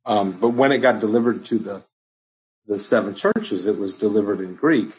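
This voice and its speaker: Husky-voiced man leading Bible Q&A. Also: on the low side, normal speed, a little mellow, low-quality recording. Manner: conversational, many ums and restarts. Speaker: pastor. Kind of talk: informal Bible study answering questions.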